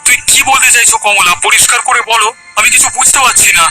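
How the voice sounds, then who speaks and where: Casting: male actor as gentle cartoon village husband, high voice, radio-like sound, loud music behind. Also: fast. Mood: mild, puzzled. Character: kindly, puzzled village husband. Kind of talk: Bengali animated story dialogue.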